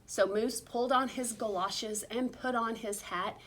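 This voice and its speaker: A woman reading a picture book aloud expressively, with theatrical, drawn-out emphasis on repeated words. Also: a little high, steady tone, a bit bright, slight background noise. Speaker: reader of a children's storybook.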